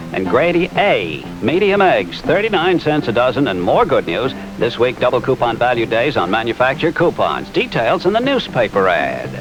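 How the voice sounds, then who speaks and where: Animated American supermarket ad man over music. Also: medium pitch, rough recording. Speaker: commercial announcer. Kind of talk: supermarket advertisement read.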